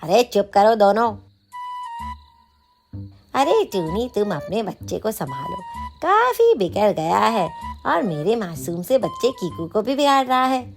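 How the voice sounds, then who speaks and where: A woman's voice: medium pitch, sing-song. Woman doing a scolding crow parent, exasperated, swooping intonation, cartoon, music behind. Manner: drawn-out, scolding.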